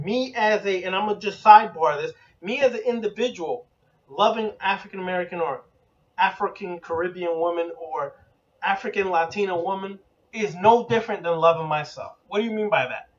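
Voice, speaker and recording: Brief: high-voiced man, preachy and profane, muffled lo-fi recording. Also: far from the mic. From Puerto Rican heritage. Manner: blunt, profane, preachy, rhetorical questions. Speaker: occult teacher. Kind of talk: profane lecture.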